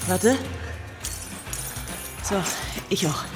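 A woman's voice: fairly low pitch, expressive, drawn-out words, music in the background. An actress playing a tough, blunt, commanding female bank robber in a radio drama. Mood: cool, commanding.

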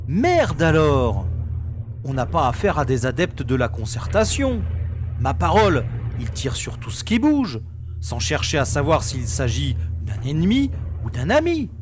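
An actor playing a hot-headed, brash male starship pilot in a science-fiction audio drama, speaking forcefully, with exclamations. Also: on the high side, huge pitch swings, a little slow, slight background noise. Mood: angry.

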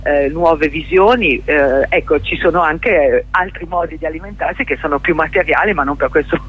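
A dull telephone line carries the husky voice of a middle-aged woman. She is a guest on a radio interview, talking through her own work in a conversational way. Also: low voice, normal speed. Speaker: interview guest, journalist and stage performer.

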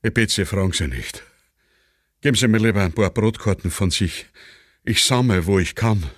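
A man's voice: on the low side, moderate pace, dry recording, studio quality, natural voice. A man playing a self-important, complaining Viennese heavy eater in a satirical radio play. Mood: plaintive. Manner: pompous, complaining.

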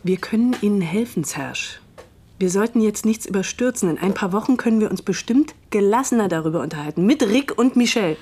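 A woman's voice: mid-range voice, animated, a little fast, warm tone, husky. An actress in a German radio drama playing a cutting, sarcastic, middle-aged mother who defiantly shields her son. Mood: coolly calming.

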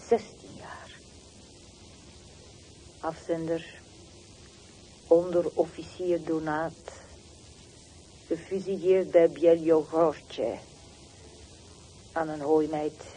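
A woman's voice: low voice, mellow. An actress playing a bitter, grieving mother left alone, speaking in short broken phrases in a radio play. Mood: accusing. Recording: noisy old radio play recording.

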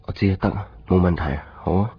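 A low-voiced, brooding young lover. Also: male voice, rapid-fire, breathy edge, no echo, full character voice. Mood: frustrated. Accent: Hong Kong Cantonese. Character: brooding, hurt young man, lonely and evasive lover. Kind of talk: 1990s Hong Kong radio drama acting. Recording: dull 1990s radio recording, music underneath.

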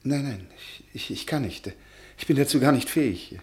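An actor as timid professor, wide-swinging pitch. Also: male voice, animated, close to an everyday voice. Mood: troubled, hesitant. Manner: hesitant, halting, polite. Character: timid, unworldly, scholarly history professor, hesitant and apologetic. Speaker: radio drama actor. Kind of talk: German radio play acting.

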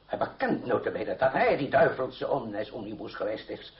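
Creaky, blustering old aristocratic uncle. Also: male voice, mid-range voice, big pitch swings, moderate pace. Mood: angry, bitter. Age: older. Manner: gruff, blustering, scolding. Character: irascible aging aristocratic uncle, blustering but wounded. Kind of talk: radio drama acting. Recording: dull old radio drama recording.

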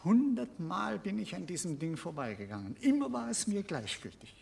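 A man's voice: high voice, sing-song, normal speed, vocal fry, a little mellow, heavily acted. An actor playing a timid, put-upon brother in a radio drama, nervous and hesitant in his speech. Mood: fearful, hesitant. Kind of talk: German radio drama acting.

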